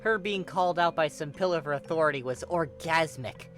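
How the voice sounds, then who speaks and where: A man with a fairly high voice narrates a fan fiction story. His reading is scripted and expressive, with faint music underneath. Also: a little fast.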